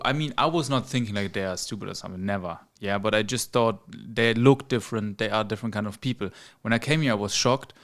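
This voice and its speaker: A man from Germany, a podcast host chatting casually in English, agreeing and phrasing things loosely. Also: mid-range voice.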